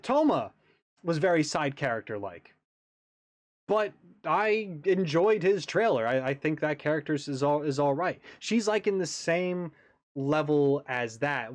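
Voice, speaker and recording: A man hosts a reaction video in a casual, easygoing way. His phrasing is hesitant and the sound is dry, without room echo. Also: fairly high pitch.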